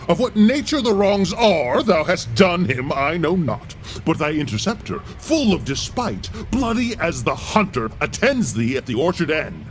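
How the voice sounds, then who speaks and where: A blustering, mischievous knight acted by a man pitches his voice high and swings it wildly up and down. It is mock-grave Shakespearean radio-play acting over faint music. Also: big pitch swings, normal speed, low-quality recording. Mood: mock menace. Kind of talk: Shakespearean audio drama acting.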